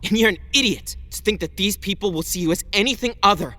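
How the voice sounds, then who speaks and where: A man's voice: high-pitched, quick pace, sharp tone. An actor playing an exasperated, protective young man in an audio drama, speaking bluntly and informally. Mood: angry, bitter.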